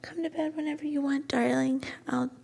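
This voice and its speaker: A woman playing a shy, sweet young student girlfriend in an ASMR roleplay, speaking softly and breathily with gentle hesitation, often slipping into a whisper. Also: high voice, steady tone, a little fast, gravelly. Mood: gentle, caring.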